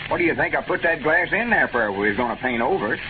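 An actor playing a bossy, easily exasperated rustic storekeeper with a rural Southern accent, folksy and fussy, in 1930s radio comedy. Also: male voice, fairly high pitch, expressive, quick pace, natural voice. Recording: scratchy, tinny 1930s radio broadcast recording.

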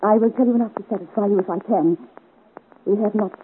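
A woman's voice: mid-range voice, fast talker, lightly acted. A woman acting a secretive, troubled young Parisian woman, earnest and dramatic, in 1950s radio serial style. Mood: confiding. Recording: narrow-band, hissy 1950s radio recording.

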